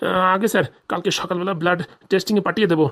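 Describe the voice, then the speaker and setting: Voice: medium pitch, steady tone, racing, a bit bright, close to an everyday voice. A performer voicing a nervous, evasive small-time male village doctor in a comedy cartoon, stammering, pleading and grumbling. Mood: defensive, explaining.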